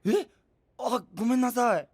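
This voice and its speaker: A man voicing a cheerful, carefree young traveler with a boisterous, naively confident manner in an animated audio drama. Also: very high-pitched, measured pace, no room sound, studio quality. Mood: upbeat. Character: cheerful, food-loving, carefree young male traveling companion. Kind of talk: animated Japanese voice drama acting.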